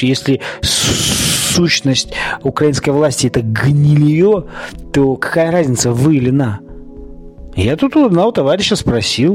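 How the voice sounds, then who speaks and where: Guy doing a solo podcast rant, mid pitched voice, opinionated n colloquial, kinda halting, bit of music behind. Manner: argumentative, poses rhetorical questions. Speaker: podcast host. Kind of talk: informal opinionated solo monologue.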